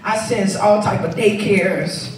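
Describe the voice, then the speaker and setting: A woman of thirty-nine preaching on a church stage with a handheld mic, chatty and playful, then building to shouts. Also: mid-range voice, steady tone, takes it slowly. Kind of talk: sermon. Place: large church sanctuary, stage. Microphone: handheld microphone. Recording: echoey hall, far mic, crowd responses. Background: congregation calling back.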